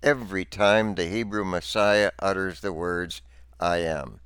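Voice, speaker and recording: Deep-voiced man, slow formal teaching narration. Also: fairly bright tone, smooth, dry recording, clean studio sound. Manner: formal, deliberate, didactic. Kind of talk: scripted religious teaching narration with scripture readings.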